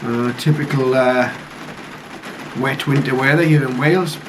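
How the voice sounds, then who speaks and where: A man giving a casual, low-key first-look commentary on a piece of equipment, speaking hesitantly and without hurry. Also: steady tone, unhurried. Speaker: radio hobbyist reviewer. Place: conservatory, later a home radio room. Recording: clearly noisy home recording.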